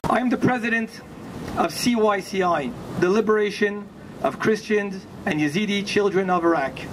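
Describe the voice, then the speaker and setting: A middle-aged man with a high voice delivers a prepared rally speech outdoors. He is earnest and deliberate, building his points phrase by phrase. Heavy city noise and traffic sit behind his handheld microphone. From Morocco. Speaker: humanitarian organization founder, rally speaker. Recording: noisy outdoor street recording. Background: loud street traffic noise.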